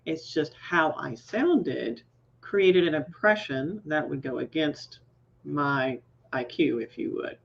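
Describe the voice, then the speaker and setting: A woman with a Southern American accent hosting a career chat, speaking deliberately in a low, somewhat nasal tone. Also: creaky, husky, highs cut off. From Southern United States. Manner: deliberate, carefully enunciated speech. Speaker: career coach, host. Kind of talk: casual chat.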